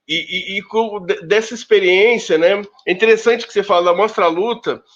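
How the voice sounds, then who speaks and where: A man from Rio de Janeiro state speaking as a researcher on a panel, halting, with many restarts and fillers. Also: high-pitched, fairly bright tone, highs cut off. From Rio de Janeiro state, Brazil. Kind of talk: casual academic debate talk.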